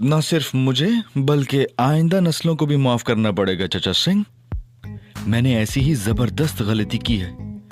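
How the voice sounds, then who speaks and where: A brash young detective in an audio drama, with a lively voice that swings freely up and down, faint music behind. He teases and boasts with theatrical flair and narrates his own story. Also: mid-range voice, measured pace. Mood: pleading. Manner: playful, teasing, theatrical. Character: cocky, joking young male detective and first-person narrator. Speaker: Ali Imran.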